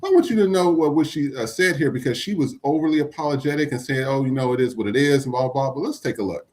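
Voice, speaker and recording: A man's voice: medium pitch, quick pace, darkish tone, slight background noise. A man hosting a podcast, giving blunt, profane commentary on the news. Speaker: podcast host. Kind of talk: casual commentary.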